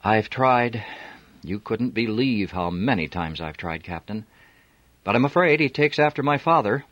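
A man reading a novel aloud, voicing a quick-witted woman who talks gently and coolly while playing a part. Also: lowish voice, lively intonation, dry recording, lo-fi sound, voice pushed up. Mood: gentle. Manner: gentle, soothing. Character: woman posing as sister, sly and calm.

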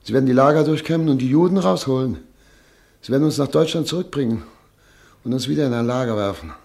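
Dr Busch, an actor playing a resigned émigré doctor. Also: male voice, mid-range voice, fast, creaky, darkish tone, lightly acted. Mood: fatalistic. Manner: subdued, fatalistic, earnest. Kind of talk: radio play acting.

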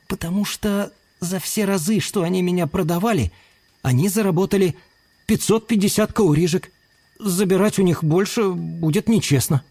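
High, naive little boy chameleon. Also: normal speed, warm tone, husky. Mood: earnest. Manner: childlike, pleading, earnest.

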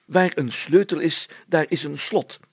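A man voicing a pompous, excitable town mayor in a children's story, spluttering and stumbling over his words. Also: a little high, measured pace, slightly rough, no room sound, voice pushed up. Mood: stern, excited. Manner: spluttering, hesitant, exclamatory, stumbling while deciphering.

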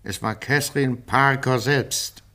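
An actor playing a stern, probing police interrogator in a radio crime drama, formal and insistent. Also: male voice, mid-range voice, fairly even intonation, unhurried, pushed and bright. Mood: accusing, insistent.

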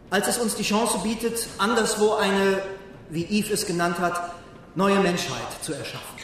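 A man's voice: high-pitched, slight background noise, close to an everyday voice. A man playing a self-assured visionary leader, echoey room. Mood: impassioned, urging. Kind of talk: radio drama acting. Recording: echoey room, music behind.

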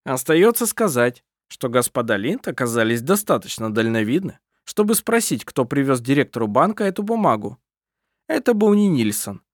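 A shrewd police chief played by the reader sets out his reasoning calmly and with measured care. The intonation stays lively, and the recording is close and dry. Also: medium pitch, dry recording. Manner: calm, measured, sly. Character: shrewd calm rural police chief, man.

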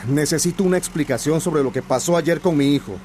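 Radio drama father played by a man: higher voice, indignant and grieving, insistent, faint street noise behind. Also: a little fast, a little mellow, lightly acted. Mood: demanding. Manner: insistent, confrontational questioning.

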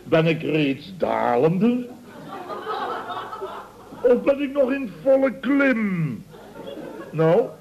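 An actor playing a pompous, self-satisfied middle-aged contractor boss in radio comedy, blustering and repeating himself with rhetorical questions. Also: male voice, sits high, very animated, very slow, creaky, long pauses, background noise, heavily acted. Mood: pompous, self-important. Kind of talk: 1970s Dutch radio comedy acting. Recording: dull old radio recording.

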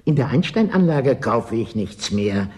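An actor in a German radio play playing a resigned, aloof property dealer, dry, curt and dismissive. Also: male voice, mid-range voice, big pitch swings, unhurried, darkish tone.

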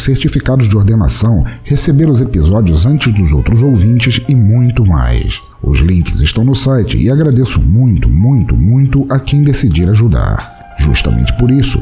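Low, mellow Brazilian man with swooping intonation. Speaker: podcast host. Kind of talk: scripted podcast announcements.

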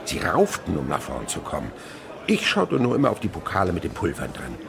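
An actor playing an old French naturalist in a radio play, telling his memories vividly and wistfully with a gravelly voice. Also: sits low, wildly swooping pitch, fairly quick, a crowd in the background, full character voice. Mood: absorbed storytelling. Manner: vivid dramatic storytelling. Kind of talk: German radio play acting.

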